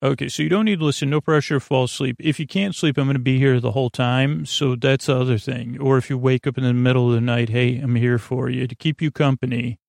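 Gravelly, meandering sleep-podcast host. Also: male voice, mid-range voice, steady tone, fast, few pauses, no echo, studio quality. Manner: meandering, drowsy, self-interrupting; gentle, reassuring toward listeners. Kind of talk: rambling podcast intro.

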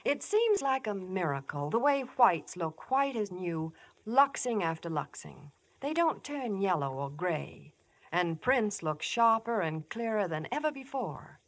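A woman's voice: medium pitch, big pitch swings, unhurried. A woman delivering a scripted 1950s radio commercial with gushing, emphatic phrasing. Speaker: commercial spokeswoman.

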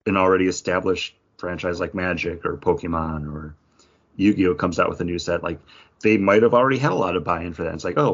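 A man with a husky voice explaining things informally as a podcast co-host in casual chat. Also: low voice, highs cut off. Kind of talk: casual chat about games and comics.

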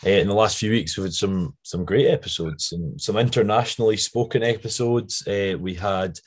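Male podcast host, Scottish, notably low and a bit croaky, informal and prone to tangents. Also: fairly even intonation, moderate pace. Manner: chatty, rambling with frequent tangents. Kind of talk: casual podcast introduction.

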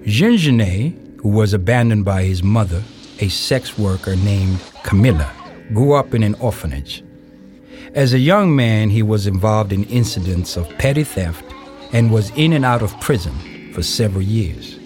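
A man reading scripted narration for an audio play in a measured storytelling way. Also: fairly low pitch, expressive, darkish tone. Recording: music behind the voice. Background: music.

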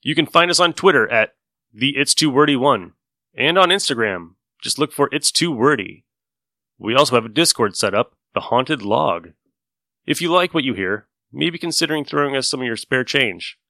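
A man's voice: mid-range voice, expressive, moderate pace, bright, forceful tone, no room sound. A man hosting a podcast, giving a friendly, informal intro into a close mic. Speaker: podcast host.

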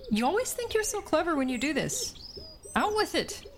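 An actress playing a cautious, wistful young Sicilian woman who narrates a radio play with dry wit and a measured, confiding delivery. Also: very high voice, very animated, background noise, exaggerated voice. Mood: dry, skeptical. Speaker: narrator and lead character. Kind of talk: cinematic radio play acting. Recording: voice over soundtrack music.

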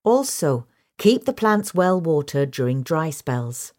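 A woman reading a scripted question-and-answer guide in a measured, instructional manner. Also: low voice, big pitch swings, a little mellow. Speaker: reader of a magazine article.

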